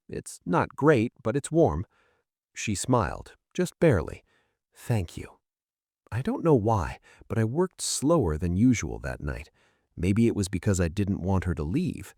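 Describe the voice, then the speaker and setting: A man reading a scripted first-person story in a measured, dramatic way, in short phrases. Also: fairly low pitch, a little mellow, no echo, studio quality.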